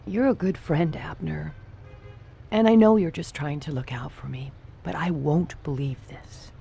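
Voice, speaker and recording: A world-weary female torch singer in a noir radio play. Her voice is low and mellow with a gravelly creak. She speaks with wistful restraint and a stubborn streak. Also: a little slow, slight background noise. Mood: earnest, defiant. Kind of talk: noir radio drama acting.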